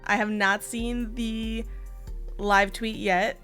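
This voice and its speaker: A woman hosting a casual livestream chat, her voice hoarse after losing it, giggling and chatting to her viewers. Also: on the high side, flat delivery, slow, fairly bright tone, soft background music. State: hoarse, partly lost voice. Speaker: streamer host.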